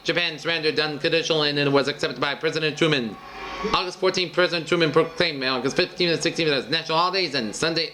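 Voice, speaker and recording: A man with a slightly high voice narrates a newsreel. He reads scripted, dated headlines like an announcer, in short clipped phrases, moving from one item to the next.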